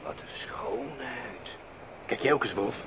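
Excitable, gravelly-edged adventurer. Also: male voice, mid-range voice, slow pace, heavy background noise. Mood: admiring, puzzled. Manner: theatrical, emphatic. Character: excitable, resolute adventurer, companion of the witch-hunter. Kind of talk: radio drama acting. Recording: muffled radio play recording with background voices.

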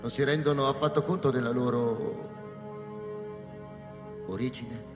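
An actor playing a cynical, weary space-station scientist in a radio drama, speaking sardonically and haltingly with dry pauses. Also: male voice, mid-range voice, steady tone, lightly acted. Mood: grave, insistent. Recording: reverberant hall with music behind.

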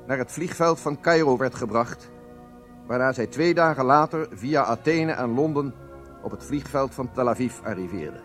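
An actor playing a proud, solemn head of a secret service, narrating a radio drama in a formal, measured way. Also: male voice, a little high, close to an everyday voice. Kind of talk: scripted first-person radio drama narration.

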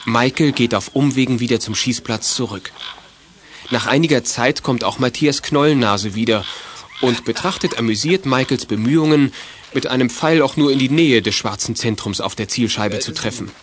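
A man narrates a children's audio play as a scripted story. His voice sits at an average male pitch. A faint noise lies under the recording. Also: moderate pace.

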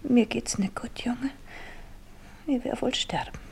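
Husky, plaintive sick wife. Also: female voice, a little high, takes it slowly, darkish tone, full character voice. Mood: morbid. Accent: Silesian. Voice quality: husky, breaking into breathy whispers. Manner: plaintive, calling out and lamenting. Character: sick, bedridden, fretful and morbid innkeeping wife. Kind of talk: naturalistic German radio drama acting.

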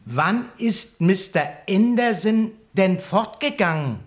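An actor playing a reasoning, slightly superior time traveller in a radio play, explanatory and insistent. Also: male voice, high voice, animated, takes it slowly. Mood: explaining. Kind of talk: German radio play acting.

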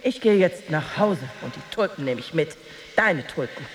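A jealous married woman in a radio drama monologue. She is bitter and taunting toward her rival. Her delivery is theatrical and emotionally volatile, moving between hushed menace and open outburst. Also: sits low, animated, moderate pace, slight background noise. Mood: triumphant scorn.

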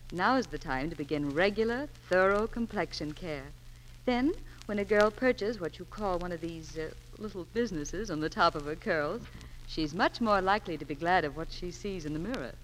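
A woman's voice: fairly low pitch, expressive, moderate pace. A woman giving scripted beauty and fashion advice as a 1940s radio spokeswoman. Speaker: fashion reporter and sponsor spokeswoman. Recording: reverberant, noisy old 1940s radio recording.

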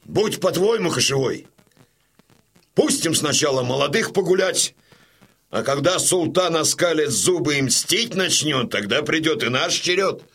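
An actor playing a seasoned, commanding Cossack warrior with a husky voice in an audio drama. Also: male voice, fairly high pitch, animated, measured pace, fairly bright tone, full character voice. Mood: approving, rousing.

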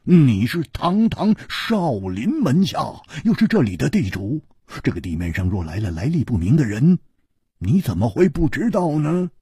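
A man voicing a relaxed, grinning swordsman who teases the others. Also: medium pitch, moderate pace, slightly rough, dry recording, studio quality, exaggerated voice. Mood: teasing. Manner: teasing, relaxed. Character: easygoing grinning male swordsman.

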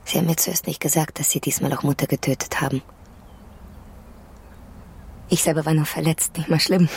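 An actress in a radio drama playing a grieving woman who survived a bombing, telling it in a quiet, halting, hushed way. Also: low-pitched, fairly even intonation, creaky, a little hiss or hum. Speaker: woman recounting a wartime air raid.

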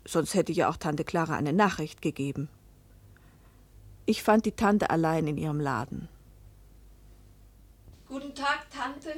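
A woman's voice: low-pitched, animated, a little slow, pauses often, dry recording. An actress playing a middle-aged mother who tells her life story in a restrained, wistful, intimate way in a radio drama. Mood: anxious, restrained. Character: weary, hopeful Italian mother narrating her life's disappointments. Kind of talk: radio drama first-person narration and dialogue.

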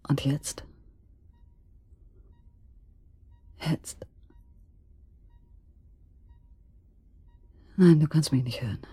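An actress playing an anguished middle-aged wife on a night vigil at her husband's hospital bed, breathy, halting and brooding, in an intimate radio drama monologue. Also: rumbling low, warm tone, no echo, full character voice. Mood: despairing, intimate. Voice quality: breathy, often dropping to a whisper. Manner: halting, brooding, addressing someone who cannot hear.

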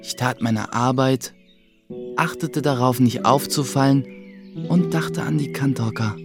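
An actor playing a young, guarded mill apprentice who narrates a radio play in a reflective, restrained way. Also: male voice, mid-range voice, unhurried, creaky. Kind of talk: scripted first-person narration in a German radio play. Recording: music behind the voice.

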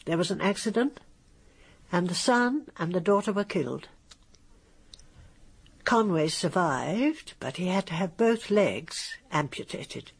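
A woman's voice: lowish voice, lively intonation, unhurried, long pauses, slightly rough, full character voice. An actress playing a middle-aged, gushing, decisive upper-class British lady in a radio drama. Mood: sorrowful storytelling.

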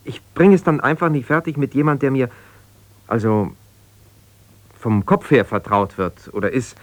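An actor playing a wounded, self-justifying husband in a naturalistic radio drama, talking in a hesitant, searching, conversational way. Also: male voice, mid-range voice, moderate pace, dry recording, natural voice. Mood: confiding, troubled. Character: defensive, wounded husband pouring out his troubles to a confidante. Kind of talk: naturalistic 1970s German radio drama monologue.

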